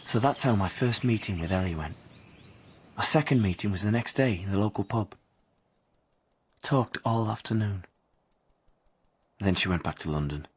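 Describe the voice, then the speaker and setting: A man's voice: lowish voice, animated, moderate pace, long pauses, husky. An actor playing a dreamy working-class young man, narrating his love story reflectively and colloquially in a radio drama.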